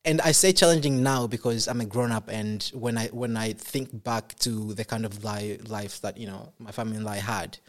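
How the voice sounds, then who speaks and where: A young man from Congo, a podcast guest, talking in a casual interview, soft-spoken and halting, with many fillers and restarts. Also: lowish voice, steady tone.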